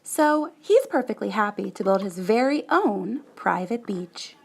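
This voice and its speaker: A woman reading a children's picture book aloud in an animated, deliberate way with dramatic emphasis. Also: mid-range voice, gravelly, husky. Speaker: storybook reader.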